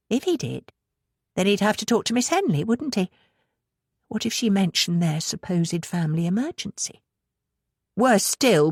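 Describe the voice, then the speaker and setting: A woman reading an audiobook as dramatic fiction narration, with expressive, measured storytelling. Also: fairly low pitch, no echo, studio quality.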